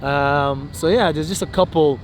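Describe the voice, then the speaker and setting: Clear street and traffic noise runs behind a British man with a fairly high voice. He talks like an informal tour guide, listing and explaining things in a chatty, casual manner. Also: normal speed. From United Kingdom. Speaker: local guide. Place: outdoors on city streets and in vehicles. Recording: noisy outdoor street and traffic.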